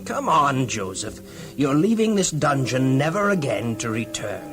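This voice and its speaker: Hearty, animated prison keeper. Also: male voice, mid-range voice, unhurried, music in the background. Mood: earnest, friendly. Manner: hearty, rambling, hesitant asides. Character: kindly, talkative prison keeper fond of his prisoner. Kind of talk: children's audio drama acting.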